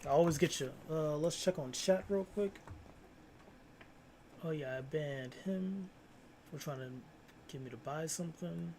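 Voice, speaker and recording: A man's voice: on the high side, unhurried, vocal fry, pauses often, dark tone, a little hiss or hum. A young man chatting casually as a livestreamer, slangy and often repeating his phrases. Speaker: live streamer reacting to videos.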